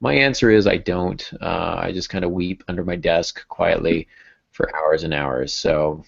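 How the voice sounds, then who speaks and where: A man's voice: deep voice, unhurried, gravelly. A man in his thirties hosting a casual podcast, with a dryly self-deprecating way of talking. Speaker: podcast host. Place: small home room with bookshelves. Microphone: earbud headset on a video call. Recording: dull video-call audio.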